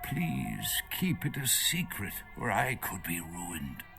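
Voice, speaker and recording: A man's voice: low voice, huge pitch swings, slow pace, vocal fry, music in the background, heavily acted. An actor playing a nervous, erudite archaeologist in a video game, lecturing hesitantly as he searches for words. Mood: confiding, uneasy. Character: nervous, erudite male foreign archaeologist held captive.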